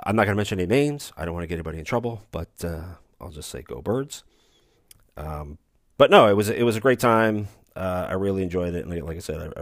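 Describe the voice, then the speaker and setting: A man with a very low, soothing voice hosts a casual solo podcast, drifting from one aside to the next with dry, self-mocking humor. His speech is relaxed and meandering. The sound is close and dry, with almost no room around it. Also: dry recording. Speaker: podcast host. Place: recording remote, away from studio.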